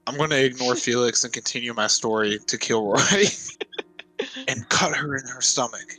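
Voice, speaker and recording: A man's voice: mid-range voice, animated, measured pace, bright, forceful tone, dry recording, low-quality recording. A man talking casually as a roleplay player, narrating his character's actions and lines in an informal, exasperated way. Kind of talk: casual in-game narration and dialogue. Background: music.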